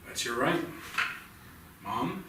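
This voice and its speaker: A man's voice: mid-range voice, very animated, slow pace. A man acting a firm detective, very echoey. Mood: matter-of-fact. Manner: firm, procedural, blunt. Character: firm, matter-of-fact male police detective. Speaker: actor. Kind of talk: staged play reading. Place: theatre stage. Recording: echoey theatre, distant mic, noisy background.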